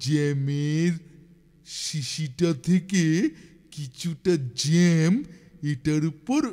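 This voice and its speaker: Slow, high-voiced sly cartoon boy. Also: steady tone, warm tone, big-room sound. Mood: sly, musing. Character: sly scheming boy prankster, plotting and gloating. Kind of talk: exaggerated children's cartoon acting.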